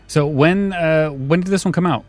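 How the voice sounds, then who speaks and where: A man's voice: fairly high pitch, normal speed, soft background music. A man hosting a podcast in a casual, chatty style. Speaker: podcast host.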